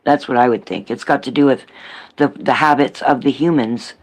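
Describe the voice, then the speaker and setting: A man from Canada with a Canadian accent, chatting casually on a panel in a halting way, repeating phrases. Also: mid-range voice. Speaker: panel participant.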